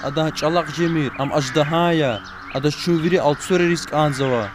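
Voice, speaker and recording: A man's voice: on the high side, moderate pace, background noise, natural voice. A man voicing an earnest, devout man in a dubbed cartoon tale. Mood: grave. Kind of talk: cartoon dub acting.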